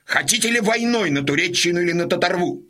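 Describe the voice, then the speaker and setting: A man's voice: high voice, expressive, fast talker, heavy background noise. An actor playing a bold Cossack man who goads a crowd toward war in a declamatory audio drama style. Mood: rousing. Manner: declamatory, goading. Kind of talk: Russian audio drama acting.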